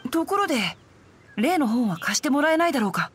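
A woman's voice: high voice, big pitch swings, fast. A woman voicing a courteous, righteous, bookish teenage boy in an anime game, composed and polite. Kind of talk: Japanese anime game dubbing.

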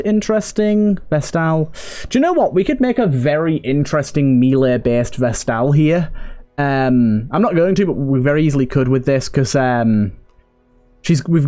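A man's voice: mid-range voice, warm tone. A man hosting a gameplay video, thinking aloud in short remarks as he gives casual commentary. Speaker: gameplay host.

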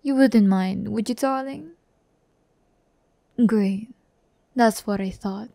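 ASMR roleplay: vain, condescending young female demon, played by a woman, gravelly fry, close dry mic. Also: mid-range voice, dark tone, dry recording. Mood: tired, condescending. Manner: slow, condescending, teasing, often dropping to a whisper. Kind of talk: breathy ASMR audio roleplay. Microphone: close microphone, dry sound.

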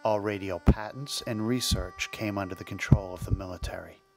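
A man with a slightly deep voice delivers scripted documentary narration. He tells it conversationally, as a storyteller with a wry streak. Soft music plays behind him. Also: fairly even intonation, moderate pace, vocal fry, poor audio. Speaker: podcast narrator and host.

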